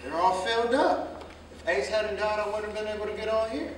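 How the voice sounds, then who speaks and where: A man's voice: high voice, fairly quick, close to an everyday voice. A man acting a stubborn, hard-working young American jitney driver in a staged play reading, defensive and insistent. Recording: distant mic, echoey hall, faint noise.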